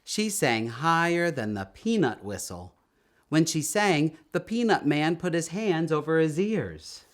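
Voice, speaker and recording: A man reading a children's story aloud in an expressive, emphatic storytelling manner, drawing out words. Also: on the high side, a little slow. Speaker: storytime reader.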